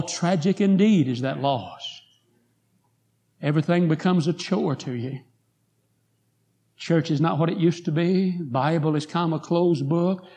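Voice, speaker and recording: A hoarse man preaching a revival sermon in a church, telling a story and building to fervent appeals. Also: fairly high pitch. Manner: rising to fervent pleas, then quiet prayer. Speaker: guest preacher. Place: church sanctuary.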